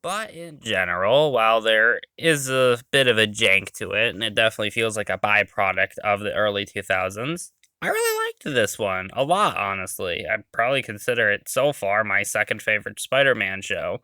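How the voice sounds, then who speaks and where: A man's voice: fairly low pitch, very animated, moderate pace, few pauses, bright, forceful tone, clean tone, no echo. A man giving an informal review as a voice-over host, conversational and hedging his opinions as he goes.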